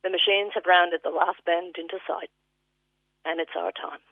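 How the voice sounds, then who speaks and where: A woman's voice: fairly low pitch, fairly even intonation, moderate pace, dry recording. A woman with an Australian accent reading a personal eulogy as vivid literary storytelling. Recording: thin phone-line sound.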